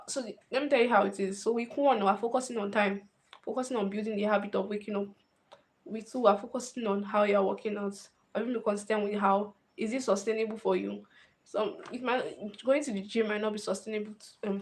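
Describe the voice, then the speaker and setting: A woman's voice: medium pitch, fairly even intonation, a little fast. A woman, talking casually to camera, thinking aloud and often repeating phrases. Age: 20s. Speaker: vlogger.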